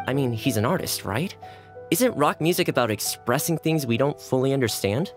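An easygoing young man, as an actor plays him, with a mid-pitched, gently friendly voice that moves a lot in pitch. He sounds like a game character with faint music behind him. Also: lively intonation, normal speed, lightly acted. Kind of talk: video game voice acting.

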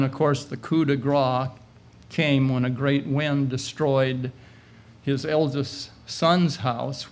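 A man in his sixties giving a sermon at a lectern, retelling scripture in measured, halting short phrases with pauses. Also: medium pitch. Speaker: preacher. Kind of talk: sermon retelling a Bible story. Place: indoor meeting room, at a lectern. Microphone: lectern gooseneck microphone.

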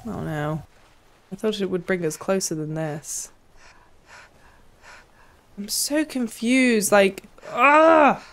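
A woman's voice: medium pitch, slow pace, long pauses, fairly bright tone, slight background noise. A young woman giving casual, exasperated gameplay commentary, swearing and reacting aloud. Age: young adult. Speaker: game streamer. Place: small home room.

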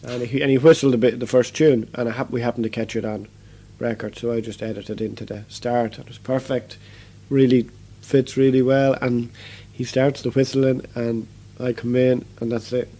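A man talking about his music in a relaxed interview. His voice is mellow and dark. He rambles, with plenty of fillers and restarts. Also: medium pitch, moderate pace, hardly any pauses. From Ireland. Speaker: guest musician. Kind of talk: casual interview chat.

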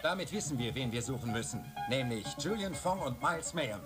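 Man as a decisive, animated cartoon hero leader. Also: medium pitch, a little fast, over loud music, natural voice. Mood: resolute. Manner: decisive, commanding. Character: resolute male leader of a heroic secret team. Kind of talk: dubbed action cartoon dialogue.

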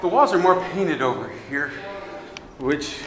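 A man's voice: on the high side, big pitch swings, measured pace, a crowd in the background. A man chatting casually and good-humoredly as he narrates a sightseeing climb to his handheld camera, speaking while exerting himself. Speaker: amateur vlogger filming a sightseeing climb. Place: inside a narrow brick lighthouse stairwell. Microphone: handheld camera held by the speaker. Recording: echoey stairwell, long reverb, clear noise.

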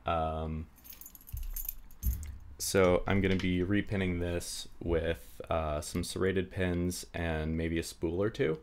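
A man's voice: deep voice, steady tone, takes it slowly. A man giving a casual hands-on tutorial, rambling with many fillers and self-deprecating asides, sounding slightly stuffy from a cold. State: stuffy, getting sick. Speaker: hobby lockpicker demonstrating. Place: indoors at a table.

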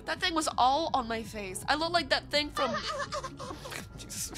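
A woman's voice: high-pitched, huge pitch swings, measured pace. A congested, nasal-sounding woman chatting casually and playfully with viewers as a livestreamer, giggly and affectionate. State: congested from illness. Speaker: streamer.

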